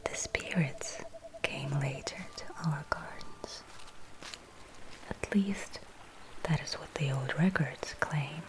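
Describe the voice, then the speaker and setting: A woman playing a gentle, courteous inn hostess in a fantasy ASMR roleplay, speaking in a soft, breathy whisper with formal, measured phrasing. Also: low voice. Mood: softly storytelling. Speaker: innkeeper character in roleplay.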